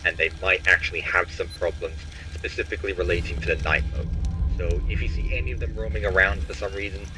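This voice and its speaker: A man's voice: low voice, sharp tone. A young man playing a friendly, slightly uneasy night-shift employee, leaving polite, casual instructions in a horror game. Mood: friendly, hurried. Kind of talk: recorded phone message in horror game. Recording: clearly noisy taped message.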